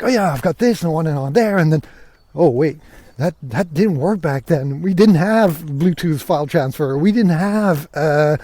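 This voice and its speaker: A man's voice: fairly high pitch, dark tone, no room sound. A man hosting a podcast, talking casually and conversationally, often posing questions to himself on a close microphone. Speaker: podcast host.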